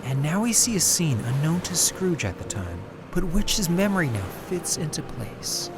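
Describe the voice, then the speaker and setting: A man's voice: a little high, very animated, normal speed, noisy background. A man reading scripted story narration for a fan comic dub.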